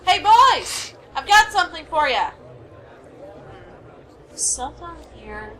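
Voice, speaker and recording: A woman's voice: extremely high pitch, big pitch swings, slow pace, bright, forceful tone, heavily acted. A woman playing an investigator in an amateur radio play. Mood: calling out.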